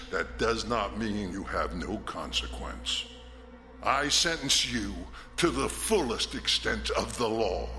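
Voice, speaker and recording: An actor playing a stern, menacing man of authority in a prison drama, with a forceful, condemning delivery. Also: fairly low pitch, heavily acted. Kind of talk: dramatic scripted film acting.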